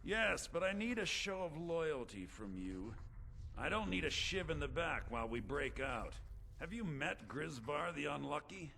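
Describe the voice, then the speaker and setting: An actor voicing an embittered, calculating rebel leader in prison in a video game, philosophical and quietly menacing. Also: male voice, a little high, very animated, unhurried, vocal fry, full character voice. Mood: cold, commanding.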